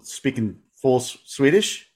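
A man's voice: medium pitch, big pitch swings. Curious man hosting a podcast. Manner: friendly, curious, a bit halting. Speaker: podcast host. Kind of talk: casual interview questions.

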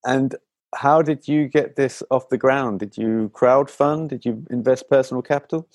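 A man's voice: medium pitch, normal speed, a little mellow, dry recording, clean studio sound. A man interviewing a guest, asking loose, rambling questions.

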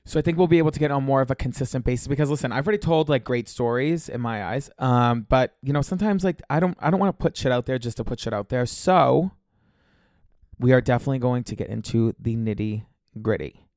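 A man's voice: medium pitch, fast, a little mellow, no room sound, studio quality. Campy, congested man chatting on a podcast. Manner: chatty, profane, campy and gossipy. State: slightly congested, scratchy throat. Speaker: podcast host. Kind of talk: casual solo podcast monologue.

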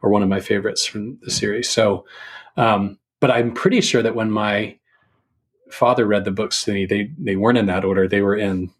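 Casting: male podcast guest, lowish slightly rough-edged voice, conversational, hesitant and reflective. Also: steady tone, moderate pace. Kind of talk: casual podcast book chat.

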